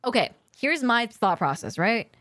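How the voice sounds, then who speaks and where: A woman co-hosting a casual talk show for streamers, chatting with playfully cynical, deadpan teasing. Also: fairly high pitch, normal speed, no room sound, clean studio sound. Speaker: podcast co-host and streamer. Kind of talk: casual chat, reacting to online posts.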